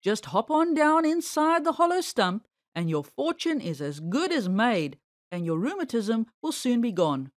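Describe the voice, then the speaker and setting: Woman voicing a sly, slow-drawling storybook bear. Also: fairly high pitch, very animated, no echo, studio quality. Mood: coaxing, boastful. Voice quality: harsh. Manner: coaxing, drawn-out, boastful. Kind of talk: children's storybook character voice.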